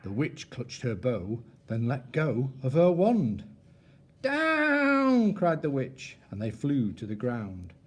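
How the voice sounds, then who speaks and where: A man reading a rhyming children's story aloud like a teacher, expressive and measured with dramatic pauses. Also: mid-range voice, breathy edge.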